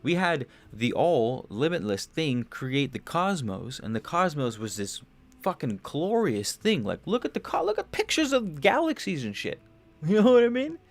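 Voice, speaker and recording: A young man hosting a livestream, rambling casually about spiritual ideas, restarting phrases, swearing loosely and getting animated on big points. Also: fairly high pitch, normal speed. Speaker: podcast host.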